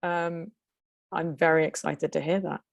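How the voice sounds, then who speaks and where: A woman with an English accent, speaking quickly with many fillers and restarts as she presents and answers questions on a video call. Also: low-pitched, fairly even intonation, gravelly, noisy background. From Denmark. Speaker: conference presenter. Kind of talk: webinar presentation and Q&A.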